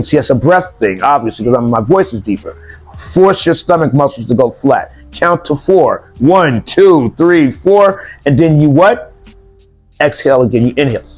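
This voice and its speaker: A man's voice: on the high side, darkish tone, poor audio. A man teaching self-defense breathing exercises, repeating cues and counting breaths aloud. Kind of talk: demonstration lesson.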